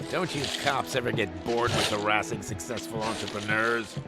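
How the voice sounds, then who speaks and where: A man's voice: on the low side, normal speed, loud music behind the voice, lightly acted. An actor playing a smug, sleazy male business owner in a video game, talking in a sarcastic, taunting way.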